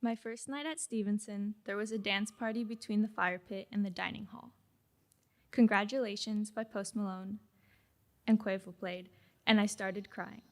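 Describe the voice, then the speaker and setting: A teenage girl giving a prepared graduation speech, reading in a reflective, earnest way. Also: medium pitch. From Mexican-American family in California. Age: late teens. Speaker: graduating student speaker.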